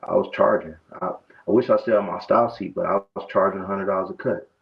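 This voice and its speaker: A man's voice: deep voice, steady tone, fast, gravelly. A man, a barber telling his story in a loose, conversational way full of restarts. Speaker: barber guest. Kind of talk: casual interview talk. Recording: dull, muffled lo-fi sound.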